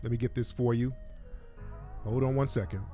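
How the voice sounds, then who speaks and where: A man's voice: medium pitch, fairly even intonation, normal speed, mellow, soft background music. A man hosting a talk show, giving opinionated live commentary in an emphatic, colloquial way and repeating points for stress.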